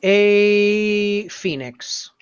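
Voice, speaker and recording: A man co-hosts a casual podcast in an unhurried voice. He banters loosely with friends at a slow pace. The sound is a little dull and lo-fi. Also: high-pitched, smooth. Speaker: podcast co-host.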